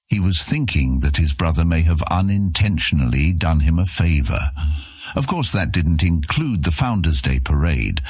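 Very deep, husky male narrator. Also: dry recording, studio quality. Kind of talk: scripted fantasy audiobook narration.

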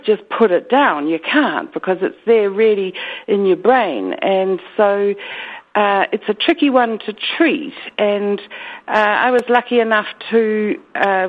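New Zealand woman on a tinny phone line. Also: medium pitch. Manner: earnest, halting with many restarts and fillers. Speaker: guest. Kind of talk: radio interview.

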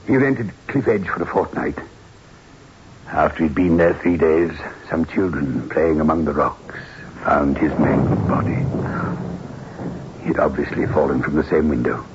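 An actor playing a grave, haunted widower in a radio drama, formal and measured, with a foreboding manner. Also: male voice, a bass voice. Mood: grave warning. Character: grave, haunted widower, male landowner of modest means. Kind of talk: 1960s radio drama acting. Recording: muffled, hissy old radio recording.

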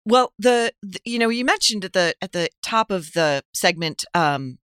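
Wry woman, bright and clear. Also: medium pitch, expressive, moderate pace, no echo, studio quality. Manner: wry, scornful. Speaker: guest commentator. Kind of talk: opinionated conversational commentary.

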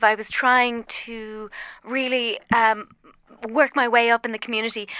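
A woman's voice: on the high side, sharp tone, no echo. A woman playing a coy, flirty caller. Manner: coy, halting, with many ums. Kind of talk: improvised comedy call-in.

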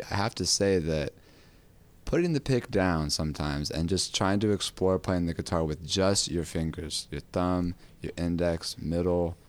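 Low raspy-voiced man hosting a podcast. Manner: relaxed, rambling, with many fillers. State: raspy from a cold. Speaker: podcast host. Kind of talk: casual podcast chat about guitar.

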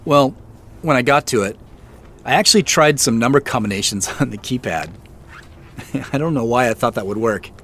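A man's voice: medium pitch, lively intonation, normal speed, pauses often, fairly bright tone. An actor playing an uneasy, self-deprecating businessman in a naturalistic audio drama, talking hesitantly with small chuckles. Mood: wry, chatty. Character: uneasy, wry businessman searching for answers.

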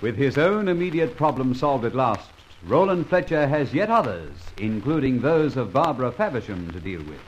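Old radio announcer guy, dramatic narration, lively swooping pitch, dull lo-fi sound. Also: moderate pace, hardly any pauses.

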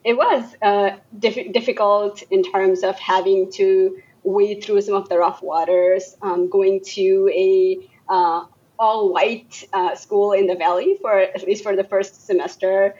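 A woman's voice: medium pitch, steady tone, unhurried, darkish tone. Hesitant woman guest, slightly muffled. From Bangladesh. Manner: hesitant, many fillers and restarts. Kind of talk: casual podcast interview.

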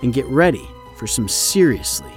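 A man's voice: medium pitch, huge pitch swings, normal speed, soft background music. A man reading a scripted podcast promo in an enthusiastic, conversational manner as host.

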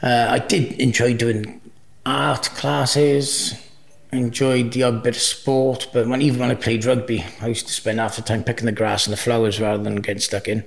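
A middle-aged man with a Cardiff accent and a gravelly edge to his voice, Welsh his first language. He chats easily as an interview guest, wandering through his story with frequent false starts. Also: mid-range voice, little pitch movement, moderate pace. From Wales. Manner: rambling, restarts often, easygoing. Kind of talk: casual interview chat.

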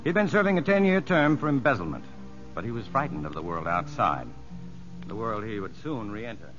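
A shrewd, composed prison psychologist tells his story in a vintage radio play. He is educated and dry, with lively intonation. The sound is dull, with music behind it. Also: on the low side, fairly quick. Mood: reflective narration. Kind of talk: 1950s radio drama acting and narration.

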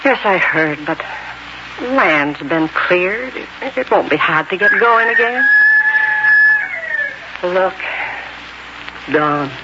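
An actress playing a comforting, resolute frontier woman, calm and solemn in 1940s radio drama style. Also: lowish voice, slow, pauses often. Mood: resolute hope. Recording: dull old radio broadcast, heavy noise.

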